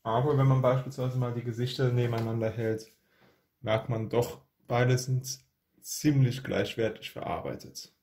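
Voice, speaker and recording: A man's voice: mid-range voice, little pitch movement, normal speed, darkish tone. A man hosting an unboxing, giving casual product review commentary.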